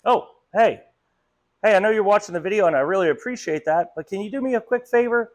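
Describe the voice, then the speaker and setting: A man putting on a hyped, pushy voice to ask viewers for likes, fast and over the top. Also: high voice, voice pushed up, natural voice. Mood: pleading hype.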